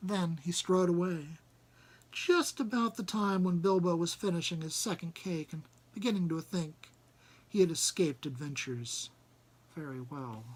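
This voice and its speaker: Husky male storybook reader. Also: a little high, measured pace. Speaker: reader and host. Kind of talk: storybook narration and informal commentary.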